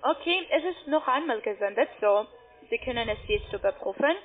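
A non-native woman with a foreign accent, instructing a customer haltingly as a call centre agent. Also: a little high, animated. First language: not German. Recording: tinny narrow-band phone line.

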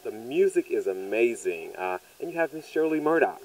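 A man's voice: mid-range voice, sing-song, moderate pace, darkish tone, poor audio. A man in his thirties from southern Louisiana, a playwright and producer answering interview questions conversationally on a handheld mic. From southern Louisiana, United States. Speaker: stage play producer and writer. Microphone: handheld microphone held by interviewer.

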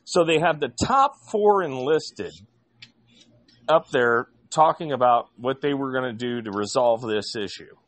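A man's voice: medium pitch, a little slow. A man from Indiana telling long, rambling stories in casual chat, with frequent restarts. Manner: rambling storyteller with many restarts.

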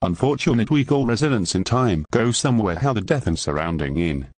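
A man's voice: lowish voice, animated, a little fast, a little mellow, dry recording. A synthetic male voice reading a scripted emergency alert as an announcer. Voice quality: synthetic text-to-speech voice.

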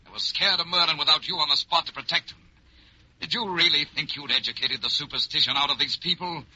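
Urgent aide, very sharp, husky. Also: male voice, on the high side, fast talker, heavily acted. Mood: exasperated. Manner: blunt, slangy American delivery. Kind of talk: old-time radio drama acting. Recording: dull voice over a radio phone.

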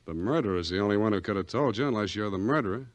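An actor playing a cool, wisecracking private detective in a 1940s radio drama, delivering dry, sardonic, clipped lines. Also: male voice, lowish voice, animated, rapid-fire, dry recording, lightly acted.

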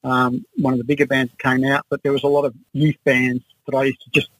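A man's voice: medium pitch, level tone, fast talker, a bit bright, no echo, low-quality recording. Australian man in his sixties, dry muffle-free recording. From Adelaide, Australia. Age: 60s. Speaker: interview guest, musician and researcher. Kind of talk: casual interview, reminiscing.

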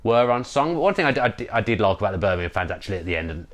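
A man's voice: lowish voice, racing. A man, chatting animatedly about a football match on a video call. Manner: animated, repeats words while thinking. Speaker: football podcast co-host. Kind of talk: casual post-match football chat. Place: home room, indoors. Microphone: video call with earphone mic.

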